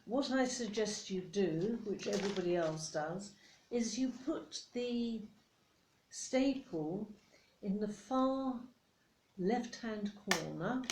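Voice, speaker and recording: Slow, fussy British boss played by a woman. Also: medium pitch, a little mellow, reverberant, slight background noise, rough recording. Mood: fussy, nitpicking. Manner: condescending, politely scolding, hesitant pauses. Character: fussy, nitpicking, controlling female office boss. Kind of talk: soft-spoken ASMR roleplay monologue.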